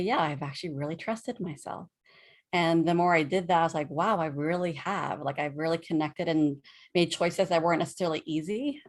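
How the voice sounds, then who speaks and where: A woman who coaches others on self-trust, talking as a podcast guest in an earnest, conversational way. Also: sits low. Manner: earnest, conversational, many fillers and self-quotes.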